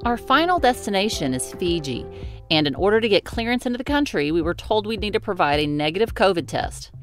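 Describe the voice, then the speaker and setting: A woman, narrating her family's sailing vlog in a lively, teasing way. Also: medium pitch, a little fast, soft background music. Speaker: vlogger mother.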